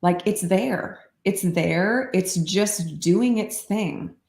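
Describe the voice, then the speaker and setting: A woman explaining meditation practice in a calm, unhurried way, often saying "like", in casual podcast conversation. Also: fairly low pitch, vocal fry, reverberant. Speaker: meditation teacher.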